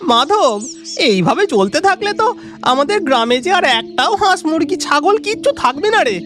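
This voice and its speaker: A distressed cartoon villager played by a woman, lamenting in a high voice. The pitch moves up and down a lot, over faint music. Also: big pitch swings, moderate pace, fairly bright tone. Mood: despairing. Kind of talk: Bengali cartoon voice acting.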